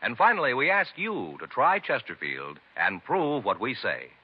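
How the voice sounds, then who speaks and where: A man delivering an emphatic, salesmanlike advertising pitch as a 1950s radio announcer, with a husky voice. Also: wildly swooping pitch, normal speed. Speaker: commercial announcer. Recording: muffled vintage radio recording.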